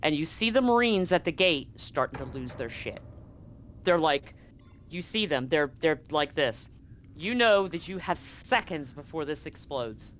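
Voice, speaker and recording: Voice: medium pitch, expressive, unhurried, a bit bright, a little hiss or hum. A game master narrating a tabletop roleplaying game in an informal, sweary way.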